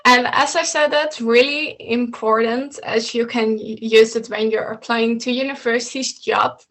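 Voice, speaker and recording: A woman's voice: fairly high pitch, a bit bright. A woman coach explaining slides in an online meeting, talking steadily and instructively, with a few slips and restarts, over a video call from a home room. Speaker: coach presenting to students. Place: home room with bookshelves, indoors.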